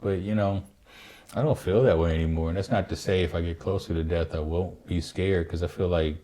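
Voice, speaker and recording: A man in his fifties, a father hosting a family podcast, telling a reflective story in an unhurried way with fillers. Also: low voice, steady tone, warm tone. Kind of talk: casual family podcast chat.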